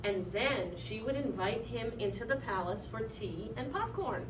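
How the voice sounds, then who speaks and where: A woman reading a children's story aloud in an expressive, animated way, drawing out key words for effect. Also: on the high side, unhurried. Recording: roomy, distant mic, clearly noisy.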